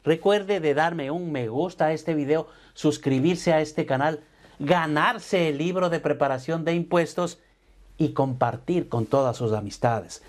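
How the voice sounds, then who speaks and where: A middle-aged man, a tax advisor, explaining to camera from an office, speaking deliberately and addressing the viewer directly, slipping English terms into his Spanish. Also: a little high. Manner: slow, emphatic, addresses viewer directly with formal "usted". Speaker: tax advisor and business coach. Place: home studio office with brick wall. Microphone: lapel mic, seated at desk.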